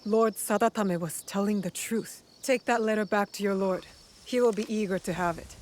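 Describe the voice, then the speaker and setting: An actress playing a blunt, focused assassin who speaks curtly. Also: female voice, medium pitch, fairly even intonation, moderate pace, darkish tone, close to an everyday voice. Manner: curt, no-nonsense.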